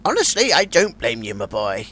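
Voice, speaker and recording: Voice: medium pitch, huge pitch swings, normal speed, sharp tone, slightly rough, heavily acted. An actor playing a kindly, eccentric old male tortoise professor in an audio drama. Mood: musing.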